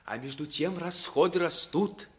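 A man's voice: fairly high pitch, unhurried, lightly acted. A man in a 1950s radio play acting a pompous, mournfully official royal treasurer, declaiming with ceremony. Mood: solemn, mournful.

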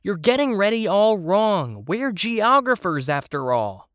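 Narrator voicing an earnest, high-pitched bossy young explorer. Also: expressive, normal speed, dry recording, studio quality, close to an everyday voice. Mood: irritated, insistent. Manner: self-important, emphatic. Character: earnest, bossy child explorer playing geographer.

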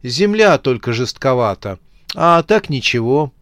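A man's voice: mid-range voice, very animated, a little slow, a bit bright, no room sound, studio quality. A man voicing a male corpse in an audio story, chatting matter-of-factly as if on the phone, unbothered and a little complaining. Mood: contented.

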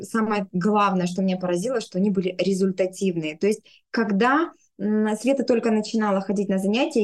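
A woman in her thirties speaking conversationally as an interview guest, telling personal stories. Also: mid-range voice. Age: 30s. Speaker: podcast guest, speech therapist.

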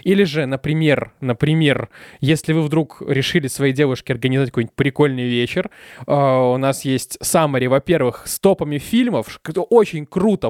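Lively young man, dry close mic. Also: a little high, no echo. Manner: lively, animated storytelling with laughs. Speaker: podcast co-host. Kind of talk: casual podcast chat with sponsor read.

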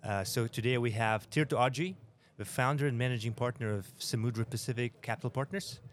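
Male podcast host, mid-pitched voice, loose rambling questions, lots of um's. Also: fairly even intonation, fairly quick, a little hiss or hum. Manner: rambling questions, many restarts and fillers. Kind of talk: interview hosting. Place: conference forum venue.

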